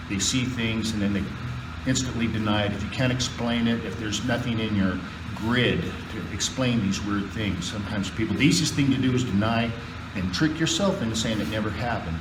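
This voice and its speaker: A man with a slightly low voice tells a personal tale in a casual, halting way. He takes his time and pauses often. The recording is roomy and distant, with clear background hum. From California, United States. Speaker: guest storyteller. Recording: roomy, distant mic, air-conditioner hum.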